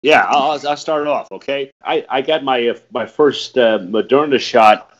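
Older hoarse man, raspy halting host. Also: mid-range voice, muffled recording. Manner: hesitant, many fillers and restarts. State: hoarse from a cold. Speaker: podcast host. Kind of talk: casual interview chat.

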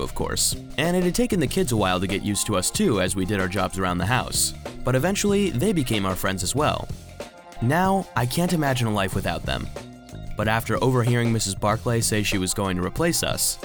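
An earnest, anxious robot vacuum cleaner tells a children's audio story. The delivery is lively and expressive, at a middling pitch, with music underneath. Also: male voice. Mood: wistful, worried. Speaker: narrator. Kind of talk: scripted children's audio drama narration.

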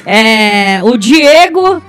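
A man's voice: very high-pitched, very animated, very slow, fairly bright tone, soft background music. A Brazilian man in his twenties with a Brazilian Portuguese accent, a blunt, crude, joking co-host in a casual chat. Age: 20s. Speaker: podcast co-host.

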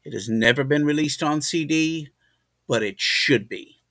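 Male host, casual intro, slow pace, crisp bright tone, dry close sound. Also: on the high side, no echo. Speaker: podcast host.

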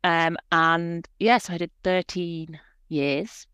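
A woman's voice: fairly low pitch, steady tone, slow, fairly bright tone, smooth, dry recording. A woman in her forties, a podcast guest talking about her work in a chatty, reflective way, with frequent fillers. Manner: chatty, reflective, many fillers and small laughs. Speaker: guest, proofreader and editor. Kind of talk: conversational podcast interview.

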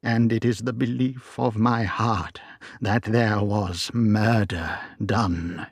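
A man's voice: lowish voice, fairly even intonation, slow, vocal fry, husky, no echo, studio quality, heavily acted. A man voicing a loyal, frightened old male butler, pleading and earnest in a storybook reading.

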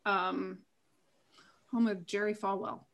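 A woman's voice: mid-range voice, flat delivery, slow. A woman from Virginia, a podcast guest telling her story openly in easy conversation. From Virginia, USA. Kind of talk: casual conversation.

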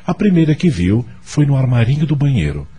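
A man's voice: medium pitch, very animated, brisk, mellow. A man with a Brazilian accent narrating a scripted radio drama as storyteller. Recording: music under the voice.

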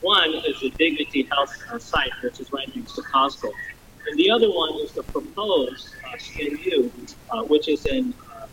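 A man serving as mayor, answering a debate question in a halting way with many fillers. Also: fairly high pitch, animated, distant mic, background noise.

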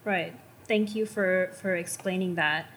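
A woman moderating a panel and asking the guests questions in a measured, polite way. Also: on the low side, steady tone, fairly bright tone, slight background noise. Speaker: interviewer, moderator.

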